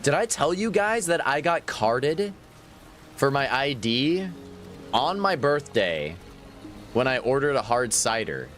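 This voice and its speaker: A man's voice: mid-range voice, sing-song, takes it slowly, a bit bright, soft background music. A man in his thirties chatting casually while livestreaming a game, laughing often and answering his viewers. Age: 30s. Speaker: gaming livestreamer. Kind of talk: casual live gameplay chat.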